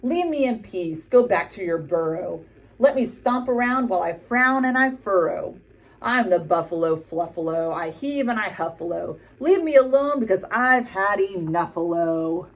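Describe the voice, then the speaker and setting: A woman's voice: mid-range voice, animated, normal speed. A woman voicing a grumpy male buffalo in a storybook who huffs and sulks about his fluff. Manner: stompy, huffing, sulky.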